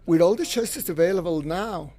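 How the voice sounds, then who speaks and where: A man's voice: sits high, moderate pace, warm tone, lightly acted. A man voicing a candid older hairdresser and drag performer in a documentary voice-over translation. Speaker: English voice-over for an interviewee.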